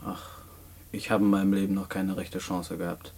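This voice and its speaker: An earnest, hard-up student behind bars in a radio play. His young voice is low and husky, his manner hesitant, polite and naive. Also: flat delivery, normal speed, darkish tone, full character voice. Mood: indignant, curious. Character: earnest, hard-up nineteen-year-old male student in prison. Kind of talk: post-war German radio play dialogue.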